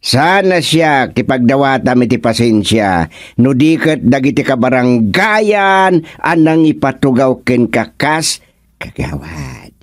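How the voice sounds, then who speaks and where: Male actor as sarcastic Filipino village official, radio comedy; measured pace, dry close recording. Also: mid-range voice, sing-song, no echo. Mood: stern, sarcastic. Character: stern, sarcastic village official scolding his subordinate. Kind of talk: comedic radio drama acting.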